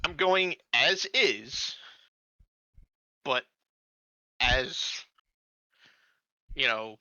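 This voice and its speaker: A man playing a tabletop roleplaying game, thinking aloud in a casual, halting way as he suggests plans. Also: mid-range voice, expressive, bright, forceful tone, dry recording, highs cut off.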